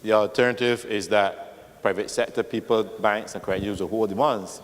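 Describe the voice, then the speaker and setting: A loud-voiced man answering a question at a meeting, patiently explaining with worked examples. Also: on the low side, fairly even intonation, moderate pace. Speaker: official explaining bonds. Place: meeting hall. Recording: long reverb in a hall.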